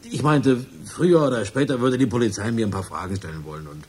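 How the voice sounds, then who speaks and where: An actor playing a nervous, self-justifying crook confessing in a radio crime drama, halting and colloquial. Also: male voice, medium pitch, a little mellow, breathy edge, lightly acted. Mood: uneasy, agitated.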